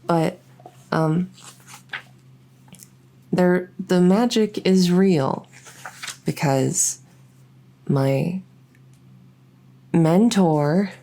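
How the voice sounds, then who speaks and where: A woman playing an awkward, earnest fledgling vampire in an ASMR audio roleplay, halting and stammering as she tries to win the listener over. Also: lowish voice, warm tone, a little hiss or hum. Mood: earnest, hesitant.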